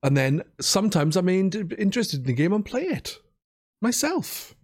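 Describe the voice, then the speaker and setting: A man's voice: high-pitched, very animated, moderate pace, husky. A man hosting a podcast, chatting informally and modestly about video games. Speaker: podcast host.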